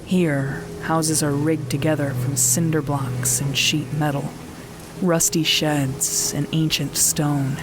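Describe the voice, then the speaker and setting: A woman voicing a weary veteran warrior nun, narrating in first person with a calm, measured, commanding manner. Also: low voice, background noise. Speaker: narrator and squad leader. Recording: music bed under the voice.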